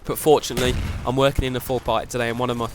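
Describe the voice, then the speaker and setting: A young man with a cold narrates gameplay in a quick, steady flow. His voice sounds nasal and stays flat, with faint gunfire and explosions from the game behind him. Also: medium pitch, background noise. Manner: quick, even delivery with repeated "very, very". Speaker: gaming commentator. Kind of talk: gameplay commentary with tips.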